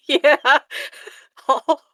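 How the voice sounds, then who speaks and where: A woman plays a tabletop game in casual chat. Her voice is a little high and she takes her time, pausing often in an amused way. The sound is dry and close. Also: steady tone, a bit bright, no echo. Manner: amused, halting.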